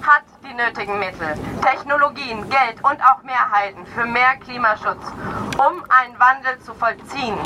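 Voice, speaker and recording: A middle-aged woman speaking earnestly and deliberately as an activist, delivering a prepared speech at an outdoor rally. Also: high voice. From Cologne area, Germany. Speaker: climate activist giving a speech. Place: outdoors in an urban area. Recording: clear outdoor street and traffic noise.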